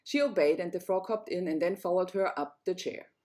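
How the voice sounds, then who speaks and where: A woman's voice: fairly low pitch, a little fast, mellow. A woman storyteller narrating a fairy tale, reading it aloud.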